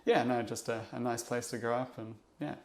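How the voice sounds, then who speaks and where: A young man with a New Zealand accent talks through his past in a casual podcast chat. He pauses often and restarts his sentences, reflective and unhurried in his thinking. His pitch sits in an ordinary middle range for a man. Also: fairly even intonation, darkish tone. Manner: reflective, halting, many fillers and restarts. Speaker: interview guest, investor.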